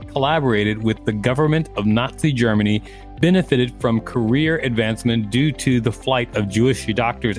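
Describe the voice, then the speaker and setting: Male podcast co-host, deadpan banter, mid pitch. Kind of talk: casual banter and reading aloud.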